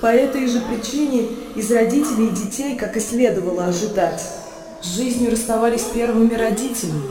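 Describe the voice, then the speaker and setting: An actress playing a solemn, sorrowful storyteller in a radio drama, speaking in a measured way. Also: female voice, on the high side, crowd noise, close to an everyday voice. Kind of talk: literary radio drama narration. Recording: very echoey, faint background noise.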